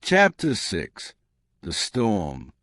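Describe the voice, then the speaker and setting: A man reads historical fiction with a measured, dramatic touch. His voice sits on the low side and moves very slowly, rising and falling expressively. The sound is dry and free of room echo. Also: wildly swooping pitch, fairly bright tone. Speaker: audiobook narrator. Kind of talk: scripted dramatic storytelling narration of historical romance.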